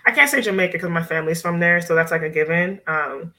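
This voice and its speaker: A woman's voice: fairly low pitch, fast talker, bright, forceful tone. A woman in her thirties from Miami, a nurse practitioner chatting casually as a podcast guest and using lots of "like" and "you know". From Miami, USA. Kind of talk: casual interview chat.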